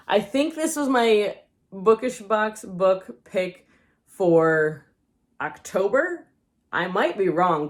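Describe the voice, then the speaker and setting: A woman vlogging a casual book haul, chatty and rambling, losing her voice and often unsure of the details. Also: mid-range voice.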